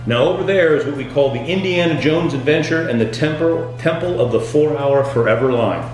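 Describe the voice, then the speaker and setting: A man delivering scripted comedic tour-guide patter as a boat skipper, with deadpan, punning jokes. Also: a little high, brisk. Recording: very echoey room, music underneath.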